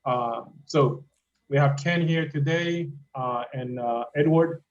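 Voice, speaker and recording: A man's voice: medium pitch, slow pace. A man hosting an interview over a video call, rambling with plenty of "you know" and "uh". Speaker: host. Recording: dull call audio with faint hiss.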